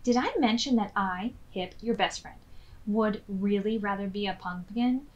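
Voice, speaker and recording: A woman voicing an eager, stubborn young female storybook character in an expressive, pleading way. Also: mid-range voice, measured pace, dull audio, lightly acted. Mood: sulky, insistent.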